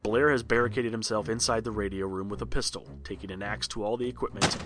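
Low-voiced man narrating a read-along story. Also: fairly even intonation, fast talker, music in the background.

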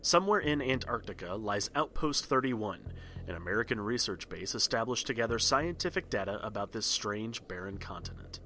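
A man's voice: lowish voice, animated, normal speed, pushed and bright, a little hiss or hum. A man giving scripted narration as a podcast host and storyteller. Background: faint music.

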